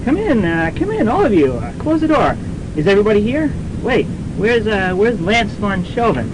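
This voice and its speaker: A man's voice: big pitch swings, normal speed. A man playing a fussy, scheming college professor with a hesitant stammer in a radio comedy. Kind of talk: campus radio comedy drama acting. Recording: noisy rumbling student radio recording.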